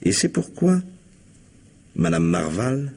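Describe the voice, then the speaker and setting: An actor playing a shrewd, composed police commissioner in an old French radio mystery, husky and methodical in his phrasing. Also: medium pitch, sing-song, slow pace, darkish tone, full character voice. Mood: firm, accusing.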